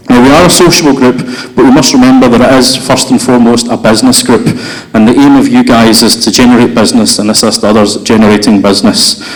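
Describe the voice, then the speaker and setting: A man's voice: medium pitch, low-quality recording. A man giving a prepared candidate speech at a business group election, earnest, with many ums and uhs. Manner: earnest, filler-heavy delivery. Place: hotel function room.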